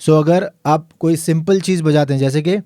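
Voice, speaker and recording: A man teaching a piano lesson, explaining calmly and counting the beats aloud. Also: a little high, moderate pace, no room sound, studio quality. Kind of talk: tutorial lesson.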